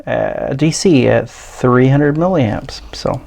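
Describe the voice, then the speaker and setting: Middle-aged American male, mid-pitched, unhurried gadget reviewer, off-the-cuff delivery, noticeable background noise with clicks. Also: vocal fry, darkish tone. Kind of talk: casual unscripted product walkthrough. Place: small indoor room at a tabletop.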